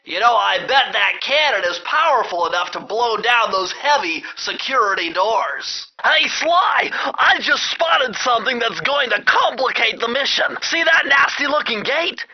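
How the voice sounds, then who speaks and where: A brainy young cartoon turtle guides the player through a video game. His voice is extremely high and nasal, with a fussy, bookish precision. He is earnest and excitable, always cautious. The sound is somewhat muffled and lo-fi. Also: unhurried, few pauses, heavily acted. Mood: cautioning, explaining. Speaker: mission guide. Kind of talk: video game voice acting, instructions. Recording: dull, lo-fi game audio.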